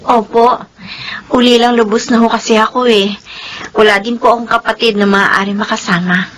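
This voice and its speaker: A woman's voice: fairly high pitch, steady tone, moderate pace, fairly bright tone, lightly acted, low-quality recording. An actress with a Filipino accent playing a humble, polite young single mother in a radio drama. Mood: relieved. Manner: polite, deferential.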